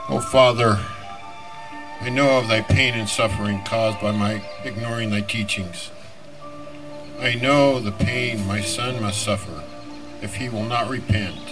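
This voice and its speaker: A man's voice: on the low side, unhurried, lightly acted. An older man playing a sorrowful, devout father pleading in prayer in an amateur pageant. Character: sorrowful devout old father praying for his son. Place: outdoor stage at night. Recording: distant outdoor stage mic, clear music.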